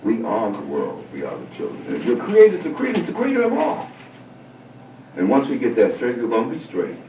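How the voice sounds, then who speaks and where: A man hosting a talk show, speaking emphatically and a bit preachily, his pitch swinging widely as he makes his points. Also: wildly swooping pitch, brisk, warm tone, a little hiss or hum. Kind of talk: casual interview. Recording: roomy sound from a distant mic.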